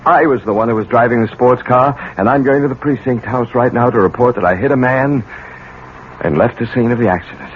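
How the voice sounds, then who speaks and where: A man's voice: medium pitch, a little fast, lightly acted. An actor playing a middle-aged American college professor, a protective and commanding father who probes firmly, in 1970s radio drama style. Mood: commanding, resolute. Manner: probing, firm, authoritative. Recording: muffled, noisy old radio recording.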